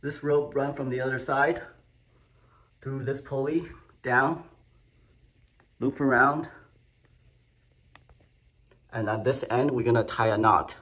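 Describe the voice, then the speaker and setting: Slow, methodical man. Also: mid-range voice, fairly even intonation, a bit bright. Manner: slow, methodical, explaining each step. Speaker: DIY instructor. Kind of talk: step-by-step how-to narration. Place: home garage.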